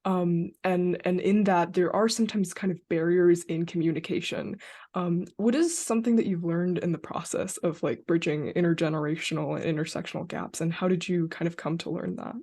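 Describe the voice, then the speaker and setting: A woman's voice: on the low side, steady tone, a little fast, mellow. A young woman hosting a podcast interview in a casual, slightly hesitant way, often saying "like". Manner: hesitant, frequent "like". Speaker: podcast host.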